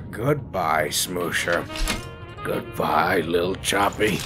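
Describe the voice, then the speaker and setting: An actor voicing a fussy, pompous older dwarf cleric. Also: male voice, low-pitched, extremely slow, over music. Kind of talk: comic dub voice acting.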